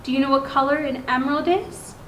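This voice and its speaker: A woman hosting a children's story time, reading aloud in simple, instructive language and pausing to put questions to her listeners. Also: sits high. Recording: roomy echo, clear background noise.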